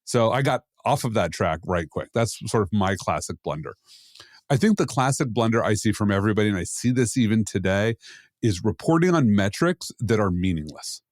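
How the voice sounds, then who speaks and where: A man's voice: lowish voice, lively intonation, fairly quick, no echo, studio quality. A man co-hosting a podcast, giving casual, opinionated commentary in a blunt, emphatic way. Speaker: podcast co-host, security expert.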